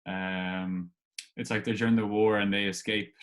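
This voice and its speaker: A man's voice: fairly low pitch, little pitch movement, moderate pace. A man hosting a casual interview, putting informal questions in a tentative, hesitant way. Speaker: podcast host, interviewer.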